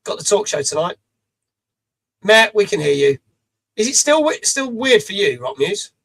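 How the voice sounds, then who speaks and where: A middle-aged man with a rough, raised voice hosts a live stream from a small home studio. He chats loosely, reads out viewers' messages and swears casually. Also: high-pitched, big pitch swings, moderate pace, fairly bright tone.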